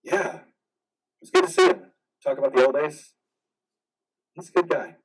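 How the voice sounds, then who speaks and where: A troubled, defiant veteran acted by a man speaks earnestly in a stage drama. The voice is raspy and on the high side, moving widely in pitch, a little away from the microphone. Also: wildly swooping pitch, normal speed, far from the mic, heavily acted. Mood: calmer, hopeful. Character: troubled, defiant male war veteran in psychiatric care. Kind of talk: play reading acting.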